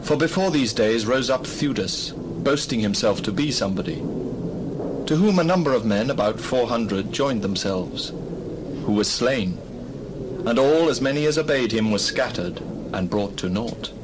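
An actor playing a measured Pharisee teacher of the law in a dramatized Bible reading, admonishing deliberately. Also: male voice, medium pitch, animated, background noise, close to an everyday voice. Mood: grave caution.

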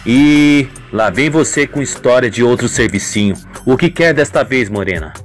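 A man's voice: medium pitch, animated, moderate pace, soft background music, pitched below the performer's own voice, natural voice. A man playing a wary, knowing, streetwise drug dealer in an audio soap opera.

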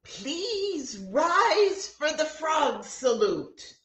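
A woman reading aloud and voicing a silly, cheerful female schoolteacher in a high sing-song voice. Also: big pitch swings, drawn-out words, a bit bright, exaggerated voice. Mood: cheery. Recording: echoey room.

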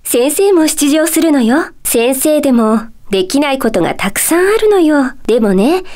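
A woman playing a gentle, polite magic-school teacher in a Japanese video game, sweetly composed, with coy, teacherly phrasing. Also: sits high, lively intonation, normal speed. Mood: gentle, teasing. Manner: polite, soft-spoken teacherly phrasing with playful coyness. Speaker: voice actor.

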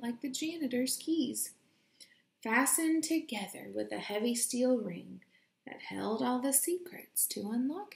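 A young woman reading a children's picture book aloud in a deliberate, expressive storytelling way, recorded in a room at home. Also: fairly high pitch, gravelly. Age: young adult. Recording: roomy home echo.

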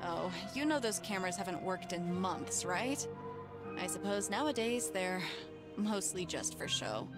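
A woman's voice: fairly low pitch, sing-song, a little slow. An actress playing a smug, scheming young woman shop supervisor in a visual novel, haughty with feigned innocence. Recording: music behind.

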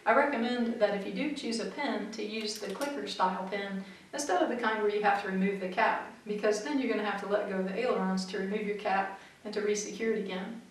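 A middle-aged woman flight instructor, calmly explaining practical tips to camera in a methodical way. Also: medium pitch, fast talker, a little hiss or hum. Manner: clear, methodical, practical advice. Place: small studio room in front of a backdrop, seated. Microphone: far camera mic. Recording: very echoey room, mic far off.

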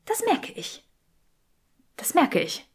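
A woman's voice: slow, lightly acted. A woman voicing a curious, bookish young witch who explains things precisely and is easily exasperated, in an audiobook story.

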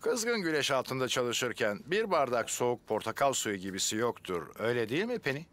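A man's voice: mid-range voice, big pitch swings, fairly quick, fairly bright tone, slight background noise. A man playing a kindly, heroic firefighter with a reassuring, upbeat manner in a dubbed children's cartoon. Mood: content.

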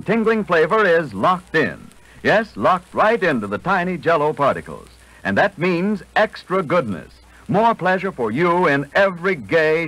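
Animated 1940s radio pitchman. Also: no echo, rough recording. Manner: polished, emphatic salesman delivery. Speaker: commercial announcer. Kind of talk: 1940s radio ad read, scripted.

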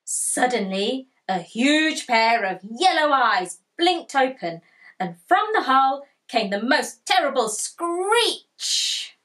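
Slow, expressive woman storyteller for children. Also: sits high. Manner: expressive, deliberate, asks listeners questions. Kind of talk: read-aloud picture book narration. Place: small furnished room indoors with bookshelves.

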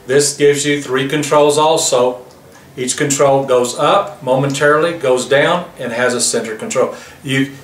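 A man in his fifties gives an explanatory product demo. His voice has a bright, forceful edge. The recording is roomy and a little distant, with faint noise behind it. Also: mid-range voice. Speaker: product presenter.

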